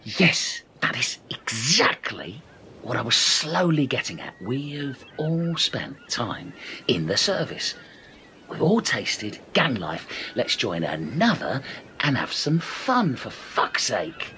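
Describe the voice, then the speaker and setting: A man's voice: mid-range voice, wildly swooping pitch, takes it slowly, pushed and bright, slight background noise, heavily acted. A man acting a menacing but easily sidetracked castaway gang leader in a comedic radio play, with a theatrical, sweary delivery. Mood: exasperated, scheming.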